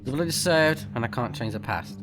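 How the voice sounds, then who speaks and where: A man doing casual let's play commentary, blunt, sweary and expressive, sometimes reading game text aloud. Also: mid-range voice, fairly quick, poor audio. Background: game music.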